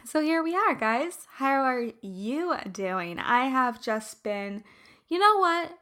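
A woman hosting a casual personal chat, her voice raspy from allergies, chatty and laughing often. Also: high voice, very animated, slow pace, sharp tone.

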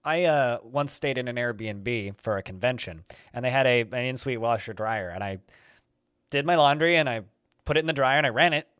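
A man's voice: mid-range voice, normal speed, fairly bright tone, clear, resonant tone, no room sound, studio quality. A man hosting a casual podcast who tells long, rambling stories full of fillers. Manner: rambling, laid-back storyteller with many fillers. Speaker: podcast host. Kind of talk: casual chat.

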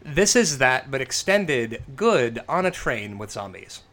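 A man whose voice is rough and worn from days of breathing smoke, talking loosely about movies. He swears freely and slips into quick acted-out quotes. His pitch sits in the middle range. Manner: animated, swearing, acts out quotes. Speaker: podcast co-host. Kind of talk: casual film podcast chat.

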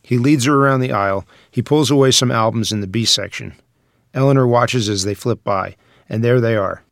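Male narrator, mid-pitched, reading scripted stage directions, dry recording. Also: a little fast, vocal fry, no room sound.

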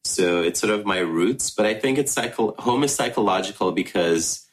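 A man in his thirties from Ghana, first language Russian, answering interview questions reflectively with many pauses and restarts. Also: on the low side, lively intonation, poor audio. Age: 30s. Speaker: filmmaker.

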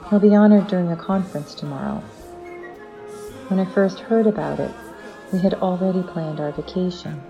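A woman's voice: lowish voice, normal speed, warm tone, dull audio, soft background music, close to an everyday voice. A woman playing a caring but troubled middle-aged wife and mother in an audio drama. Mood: hurt, uneasy.

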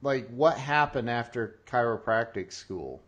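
A man hosting an interview show, talking in an excitable, casual way with lots of restarts and laughs. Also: medium pitch, unhurried, slightly rough, muffled recording. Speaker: podcast host.

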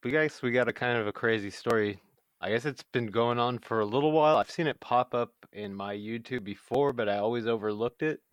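A man's voice: mid-range voice, brisk, smooth, no room sound. A man co-hosting a casual podcast, talking loosely and hesitantly with plenty of fillers. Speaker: podcast co-host.

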